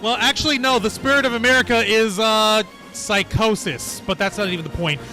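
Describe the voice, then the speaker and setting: High, bright-voiced male stream host. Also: brisk, rough recording. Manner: irreverent, profane. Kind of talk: casual joking panel chat. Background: music.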